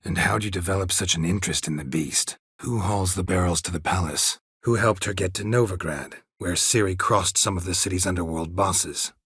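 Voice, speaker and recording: An actor playing a stoic, world-weary monster hunter in a video game, with a gravelly, husky voice and terse, dry, deadpan delivery. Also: male voice, low-pitched, moderate pace, hardly any pauses, dry recording, exaggerated voice. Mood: dry, questioning.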